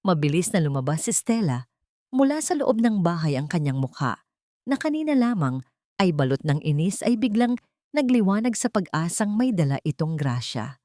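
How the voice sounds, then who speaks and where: A woman reads a dramatic audio story in a slightly low voice. Her delivery is solemn, emotive and measured. The recording is dry, with very little room sound. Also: sing-song, dark tone, no echo.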